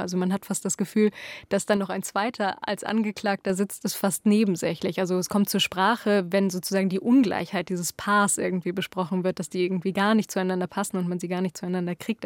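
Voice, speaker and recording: A woman's voice: medium pitch, darkish tone, no room sound. A woman working as an interviewer, asking thoughtful, discursive questions.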